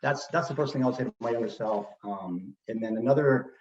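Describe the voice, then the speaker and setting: A man's voice: medium pitch, brisk, darkish tone, lo-fi sound. Man who stutters, distant roomy sound. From Texas, US. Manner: casual, with fillers and occasional stutters. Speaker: presenter at a speech celebration. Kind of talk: personal talk about stuttering.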